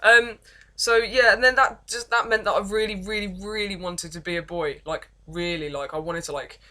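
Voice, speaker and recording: A young woman with an English accent and a deep voice, telling her life story in a rambling, self-deprecating vlog with many false starts. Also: normal speed, sharp tone. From England. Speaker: vlogger. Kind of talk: casual confessional vlog, reading from notes.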